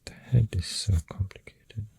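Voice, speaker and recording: An ASMR host with a Swedish accent, rambling softly and hesitantly in a breathy voice that often drops to a whisper, close to the mic over pencil sounds. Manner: hesitant, unhurried musing. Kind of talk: soft-spoken ASMR rambling. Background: pencil drawing and clicking sounds.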